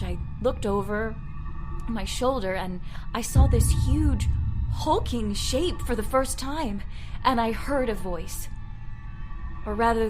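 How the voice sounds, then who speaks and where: A woman's voice: fairly high pitch, lightly acted. Actress doing a scared young lady telling her ghost story, old radio drama style, music underneath. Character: haunted young woman recounting a terror. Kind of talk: old-time radio horror acting.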